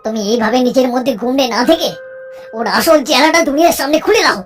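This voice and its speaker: A woman's voice: high-pitched, fairly quick, lightly acted. A woman voicing an earnest, concerned friend in a cartoon, urging someone to act. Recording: faint music behind the voice.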